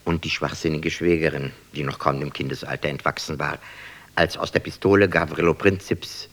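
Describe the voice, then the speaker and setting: A man's voice: a bass voice, steady tone, brisk, fairly bright tone, full character voice. A man reading scripted literary narration for a German radio play.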